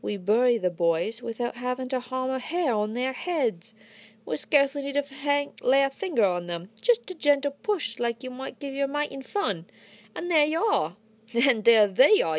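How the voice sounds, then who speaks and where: A woman's voice: high-pitched, pitched above the performer's own voice. A woman doing a growling, gloating red-haired bandit. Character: burly red-haired male bandit, jovial and menacing.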